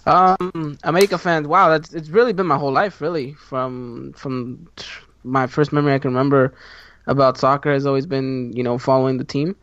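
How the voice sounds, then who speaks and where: A man's voice: medium pitch, steady tone, brisk, fairly bright tone, no echo, muffled recording. A twenty-two-year-old man, a podcast guest, talking casually and hesitantly over a call. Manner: hesitant, starts with "um".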